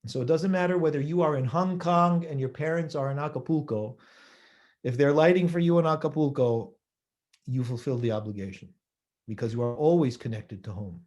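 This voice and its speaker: Man giving a measured holiday sermon. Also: fairly high pitch, darkish tone. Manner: measured, with rhetorical questions and repeated phrases. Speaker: rabbi giving a holiday talk.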